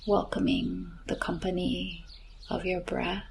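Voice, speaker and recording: Woman, meditation guide, soothing and breathy, very even narrow intonation, unhurried gentle delivery, soft birdsong in the background. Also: fairly low pitch, slight background noise. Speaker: yoga nidra meditation guide. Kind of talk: slow guided relaxation meditation. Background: chirping birds, nature ambience.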